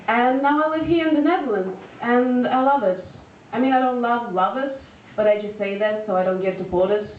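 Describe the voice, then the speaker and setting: A woman, performing a deadpan stand-up set full of fillers and restarts, recorded live at a venue. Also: medium pitch, slight background noise. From Russia. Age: 20s. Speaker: stand-up comedian. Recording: echoey lo-fi room recording from far off.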